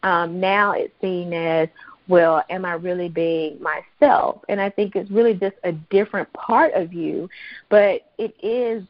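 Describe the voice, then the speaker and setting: An American woman from Mississippi giving explanatory interview answers as a therapist, in articulate, measured professional phrasing. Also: lowish voice, steady tone, no echo, dull audio. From Mississippi, United States. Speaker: psychotherapist, interview guest.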